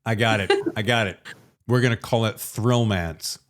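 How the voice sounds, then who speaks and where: A man's voice: lowish voice, normal speed, a crowd in the background. A man hosting a podcast interview in a casual, playful, effusive and informal way, bantering with his guest. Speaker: podcast host interviewing an author.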